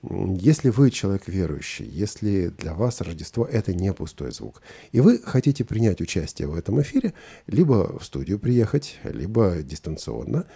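A man hosting a live studio talk show, lively and joking with his co-host. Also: sits low, vocal fry, breathy edge.

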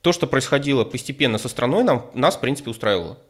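A man's voice: medium pitch, animated, fast talker. Hesitant man. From Ukraine. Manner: hesitant, with many fillers and restarts, careful wording. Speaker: guest. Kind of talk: casual conversational storytelling.

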